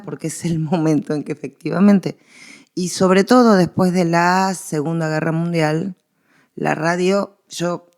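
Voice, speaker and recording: A woman's voice: low-pitched, gravelly, darkish tone. A woman with a Buenos Aires Argentine accent, speaking as an academic guest in unscripted conversation, often stopping to rephrase as she builds her argument. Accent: Argentine Spanish, Buenos Aires. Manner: halting, self-correcting as she builds arguments. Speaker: interview guest, literature professor and researcher.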